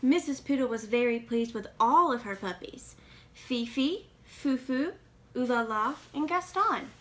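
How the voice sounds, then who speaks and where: A woman's voice: on the high side, lively intonation, a little slow, slight background noise. A woman reading a children's picture book aloud expressively, with questioning asides to young listeners. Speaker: read-aloud reader.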